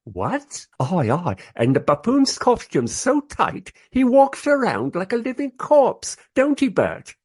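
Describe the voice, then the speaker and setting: A man's voice: high voice, wildly swooping pitch, takes it slowly, hardly any pauses, a little mellow, husky, exaggerated voice. An actor playing a rowdy, crude, drunk Regency Englishman with boisterous tipsy banter in a comic radio play. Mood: gleeful, scornful.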